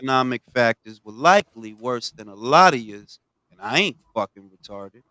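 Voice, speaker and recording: A man hosting a boxing talk show, ranting with heavy profanity and swinging from low mutters to loud outbursts. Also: expressive, a little fast, dry recording, poor audio. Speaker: podcast host.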